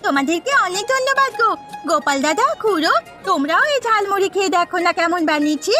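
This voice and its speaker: A woman's voice: very high voice, animated, fast talker, over music. A woman voicing an earnest, eager young village snack-seller girl in a cartoon, sing-song and politely pleading. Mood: cheerful. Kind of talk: Bengali cartoon voice acting.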